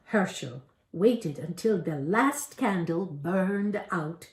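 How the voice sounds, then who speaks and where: Slow older woman storyteller, roomy sound. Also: on the low side, lively intonation. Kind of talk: expressive story reading. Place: indoor room at home, by lit candles. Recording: roomy echo.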